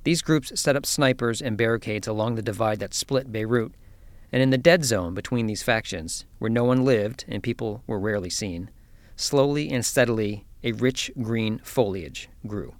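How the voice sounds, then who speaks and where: A man hosting a science podcast, telling a story in a measured, scripted way before interviewing his guest. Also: on the low side, dry recording. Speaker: podcast host. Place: office.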